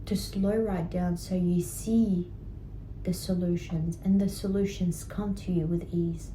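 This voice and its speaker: Mellow-voiced woman coaching, echoey room. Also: on the low side, fairly even intonation, unhurried, slight background noise. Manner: encouraging, repeats "right?" and "guess what". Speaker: healing practitioner. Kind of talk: coaching session.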